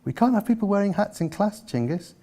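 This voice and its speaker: A man plays a storybook primary school teacher, a woman trying to keep control. The voice is raised in pitch, polite, flustered and insistent, with an exasperated edge. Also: lively intonation, brisk, dark tone.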